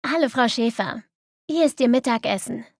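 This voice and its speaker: A woman's voice: a little high, normal speed, no echo, clean studio sound. A woman playing a caring, encouraging carer in a scripted language-lesson dialogue. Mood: coaxing. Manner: solicitous, encouraging. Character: caring, coaxing meal-bringing carer.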